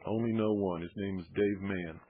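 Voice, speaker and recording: A man's voice: low-pitched, steady tone, normal speed, slight background noise. A man hosting a call-in radio talk show, speaking bluntly and growing heated. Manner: blunt, heated when riled. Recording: tinny shortwave radio broadcast.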